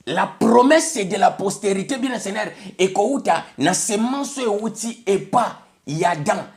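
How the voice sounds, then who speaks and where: A man's voice: high-pitched, expressive, a little slow. Congolese preacher man, emphatic sermon. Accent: Congolese French. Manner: emphatic, repeats "bien aimé le Seigneur", switches between French and Lingala.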